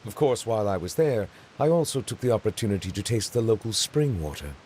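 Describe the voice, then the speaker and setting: A stately male judge from a video game, voiced by a man, speaking in a low, composed voice. He chooses every word with formal precision and measured calm. His humor is dry. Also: a little hiss or hum, lightly acted.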